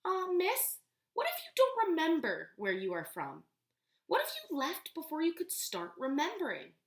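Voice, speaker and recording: A woman's voice: very high voice, wildly swooping pitch, moderate pace, pitched above the performer's own voice, exaggerated voice. A woman reading a storybook, voicing an earnest little schoolgirl who is puzzled yet eager. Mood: hesitant, puzzled. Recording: roomy, echoey room sound.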